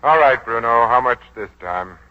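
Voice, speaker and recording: An actor playing a suave, ruthless crime boss in a 1940s radio drama, speaking in a smooth, coolly condescending way. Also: male voice, medium pitch, expressive, normal speed, natural voice. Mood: cool, firm. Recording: muffled vintage 1940s radio broadcast.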